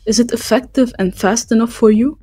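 A woman's voice: a little high, steady tone, a little fast, warm tone, slightly rough. A woman interviewer reading out short scripted questions.

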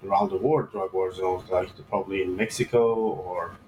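A man in his thirties from Iran, a surgeon answering interview questions in a hesitant way with many pauses and fillers. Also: low voice, fairly even intonation, darkish tone, reverberant, highs cut off, a little hiss or hum. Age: 30s. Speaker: guest surgeon.

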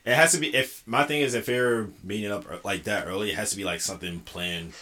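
A man in his twenties co-hosting a casual podcast chat, telling stories animatedly and laughing easily. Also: fairly low pitch. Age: 20s. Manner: animated storyteller, quick to laugh. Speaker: podcast co-host. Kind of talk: casual chat among friends.

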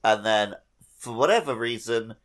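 A man's voice: fairly low pitch, moderate pace, a bit bright. A man co-hosting a podcast, chatting casually about a video game with wry, joking asides. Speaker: podcast co-host.

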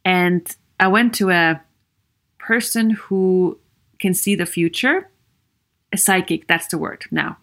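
A woman with a Viennese Austrian accent talks unhurriedly through a solo podcast episode. Her voice sits a little low, and she comes across as earnest and encouraging, often breaking off into "you know" while she asks her listeners questions. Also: slow pace, pauses often, a bit bright. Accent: Viennese Austrian German. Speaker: podcast host, psychologist.

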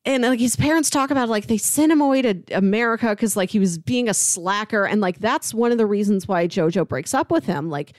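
A woman's voice: medium pitch, expressive, brisk, hardly any pauses, no echo. A woman co-hosting a casual recap discussion, retelling scenes conversationally and slipping into quoted dialogue. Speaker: podcast co-host.

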